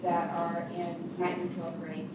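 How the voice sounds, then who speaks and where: A woman introducing herself as a school coach for English learners, brisk and matter-of-fact. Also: on the low side, monotone. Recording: echoey hall, far from mic, loud noise.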